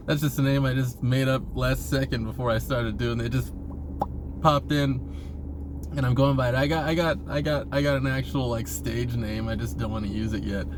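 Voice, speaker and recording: A man's voice: mid-range voice, noisy background. A man talking casually in a rambling monologue, hesitant and often restarting his phrases, as a vlogger posing questions.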